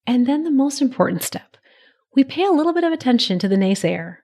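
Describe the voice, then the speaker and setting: A woman in her thirties hosting a solo podcast, her voice a little raspy from a cold, speaking in a gentle, encouraging coaching manner. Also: high-pitched, very animated, fairly quick. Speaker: podcast host.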